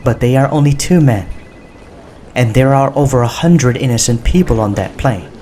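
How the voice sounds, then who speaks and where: Man acting female Prime Minister, audiobook, stern and authoritative, soft dark timbre, faint noise. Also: medium pitch, pitched above the performer's own voice, close to an everyday voice. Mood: cold, stern. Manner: measured and authoritative. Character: firm, commanding female Prime Minister pleading for innocents. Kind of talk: audiobook character dialogue.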